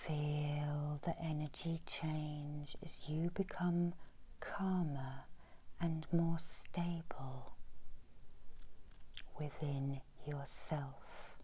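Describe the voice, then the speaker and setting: A middle-aged woman whispering a soothing, breathy ASMR guided meditation as a healer, softly giving instructions. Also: sits low, fairly even intonation, extremely slow. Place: small indoor room with folding screens.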